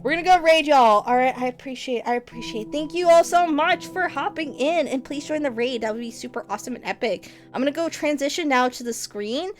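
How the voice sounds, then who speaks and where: A woman's voice: high voice, brisk, few pauses. Playful, giggly woman streamer. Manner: playful, teasing, laughs often, says y'all. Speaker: livestreamer. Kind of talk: casual stream chat with viewers.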